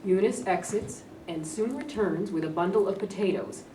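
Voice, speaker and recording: A woman's voice: mid-range voice, moderate pace, warm tone. A woman reading out stage directions as scripted narration at a staged play reading. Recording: roomy, distant, faint background noise.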